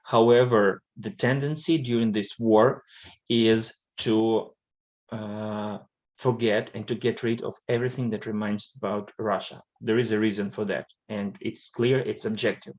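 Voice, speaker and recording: Ukrainian man, native speaker of Ukrainian, lowish voice, halting, lots of fillers, presentation. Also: fairly even intonation, a little mellow. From Western Ukraine. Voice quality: linguist. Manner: hesitant, many uh and um fillers. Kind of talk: live-streamed presentation.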